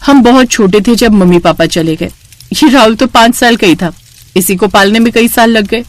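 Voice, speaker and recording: A woman's voice: a little high, normal speed, no echo, lightly acted. A woman voicing an affectionate, teasing elder sister who is a police inspector in a story. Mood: reflective, fond.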